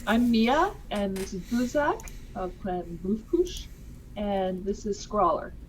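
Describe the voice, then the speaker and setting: A woman's voice: medium pitch, takes it slowly, slight background noise, lightly acted, low-quality recording. A woman voicing a cheerful, friendly adventurer who introduces her companions.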